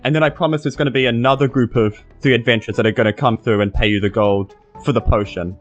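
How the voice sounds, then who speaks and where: A man with a New Zealand accent plays a tabletop game, bargaining casually in character. His pitch is average and faint music plays behind. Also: fairly even intonation, quick pace, highs cut off.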